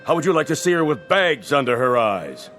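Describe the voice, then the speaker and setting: Radio drama: slick, pushy film producer, lively and highish, selling hard with grand pleas. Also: male voice, fairly quick, soft background music. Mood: grandiose pleading. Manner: wheedling, grandiose salesman-like pleading. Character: pushy, smooth-talking older male movie producer.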